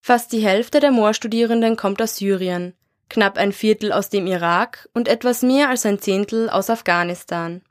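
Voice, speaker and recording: Woman reading a radio news piece, steady and measured. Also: medium pitch. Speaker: radio reporter. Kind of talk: scripted news narration.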